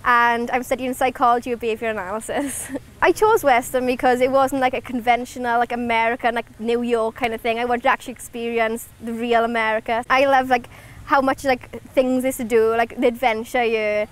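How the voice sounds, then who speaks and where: A woman's voice: fairly high pitch, fairly even intonation, fairly quick. A young woman from Wales, an international student chatting casually with lots of fillers. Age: young adult. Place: outdoors on campus.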